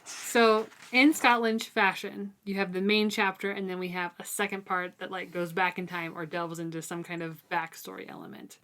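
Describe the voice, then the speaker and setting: A woman with a slightly low, clean and resonant voice, hosting a casual book discussion. She speaks informally and thoughtfully, working through her points in a conversational way. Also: steady tone, moderate pace, fairly bright tone. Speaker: podcast host.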